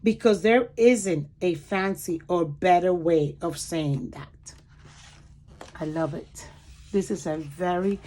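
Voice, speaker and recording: A woman reading a picture book aloud to children in an expressive, deliberate way, pausing to explain words and point things out. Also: medium pitch. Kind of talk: animated children's read-aloud with commentary asides.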